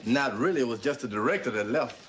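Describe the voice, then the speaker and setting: Easygoing boxer-type amateur actor for sitcom, played by a man, average pitch, heavy background noise. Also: lively intonation, fast, close to an everyday voice. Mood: plain, earnest. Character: easygoing amateur actor playing a boxing champ. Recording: very noisy stage recording.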